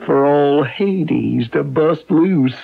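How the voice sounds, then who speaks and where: An actor playing a cocky, power-hungry small-time schemer in a radio drama, with a smug, folksy, wheedling manner. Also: male voice, on the high side, extremely slow, gravelly, loud music behind the voice, heavily acted. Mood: smug, sly. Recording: muffled old radio broadcast recording.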